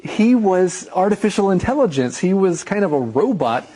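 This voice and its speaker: A man's voice: high voice, sing-song, normal speed, mellow, breathy edge, poor audio. A man co-hosting an English lesson, chatting playfully and thinking aloud. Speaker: co-host. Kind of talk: casual chat in an English lesson.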